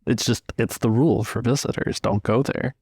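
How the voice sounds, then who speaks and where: A man improvising scene descriptions as a game master, pausing to pick his words in a measured way. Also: medium pitch, fairly even intonation, a little mellow, husky, no room sound, studio quality. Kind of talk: improvised tabletop game narration.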